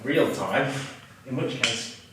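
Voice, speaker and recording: Animated man on stage, distant and echoey. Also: mid-range voice, slow pace. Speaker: performer in a staged reading. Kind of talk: scripted comic stage introduction. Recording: echoey room, distant mic, noise.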